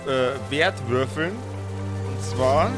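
A man running a tabletop role-playing game, improvising narration in a halting, searching way and chatting casually. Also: mid-range voice. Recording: music and rain sounds behind. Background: music, rain.

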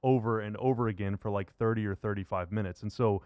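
A man's voice: on the low side, steady tone, fast, dry recording, muffled recording. Conversational male sports host, dry close sound. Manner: casual, conversational. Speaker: sports radio and podcast host. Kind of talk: upbeat show intro and basketball interview.

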